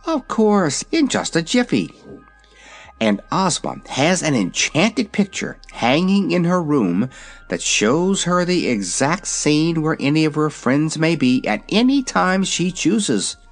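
A man's voice: on the high side, very animated, slow pace, soft background music, voice pushed up, exaggerated voice. A man voicing a cheerful, confident young girl in a fairy-tale story. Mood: bright, confident. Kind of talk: storybook character voice.

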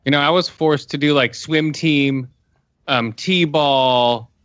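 A man's voice: fairly high pitch, takes it slowly, a bit bright, no echo, muffled recording. A man in his forties chatting over a video call, wry and opinionated. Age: 40s. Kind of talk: casual chat.

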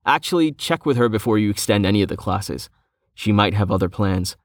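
A man voicing a brisk, businesslike, good-humoured male commander in an audiobook. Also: fairly low pitch, expressive, dry recording, studio quality.

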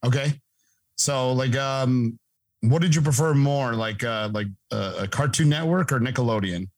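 A man's voice: medium pitch, moderate pace, darkish tone, dry recording. A man in his thirties hosting a podcast, joking and rambling with lots of fillers. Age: 30s. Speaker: podcast host. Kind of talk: casual chat.

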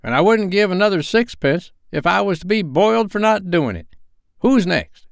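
A crafty old rag-and-bone man who haggles and wheedles, as a male reader puts him on. The voice sits raised above the reader's own and moves lively. It comes across smooth and clear. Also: high voice, normal speed, no echo, clean studio sound, pitched above the performer's own voice, exaggerated voice. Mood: haggling. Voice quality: wheedling, chuckling.

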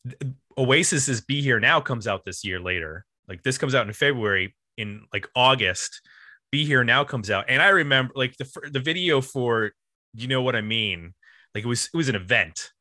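Bright, forceful male podcast host. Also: mid-range voice, lively intonation, moderate pace, dry recording. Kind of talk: casual album discussion.

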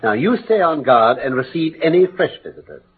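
An actor playing a brilliant, commanding English detective in classic radio drama, speaking with precise, formal, emphatic diction. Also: male voice, medium pitch, sing-song, brisk, a little hiss or hum, lightly acted. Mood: analytical, animated. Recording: muffled old radio broadcast.

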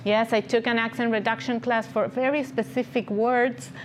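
A woman's voice: fairly high pitch, moderate pace. A woman with a foreign accent, the encouraging guest speaker at a business event, chatting to the room over slight background noise. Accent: non-native English accent. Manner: animated encouragement, often checks in with "right?". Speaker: guest speaker, agency founder and CEO. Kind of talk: conversational motivational business talk.

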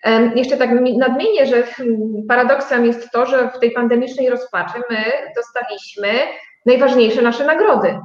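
The recording is noticeably echoey and a little dull. In it a woman with a fairly high voice explains things conversationally, as a guest in an interview. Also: moderate pace. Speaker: interview guest, business owner. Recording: dull, roomy, echoing sound.

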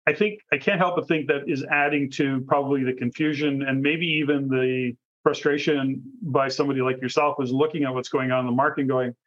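A man's voice: mid-range voice, fairly even intonation, brisk, few pauses. A man hosting a conversational interview podcast, asking long, rambling, discursive questions. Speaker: podcast host interviewing a guest. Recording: dull, lo-fi audio.

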